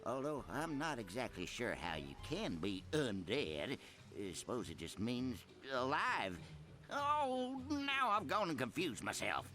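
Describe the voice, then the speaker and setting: A man's voice: medium pitch, huge pitch swings, unhurried, pushed and bright, soft background music, full character voice. A man playing a timid, grumbling small-town mechanic in a video game, with a rural American accent and a folksy, rambling manner. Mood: folksy, uneasy. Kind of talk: video game cutscene acting.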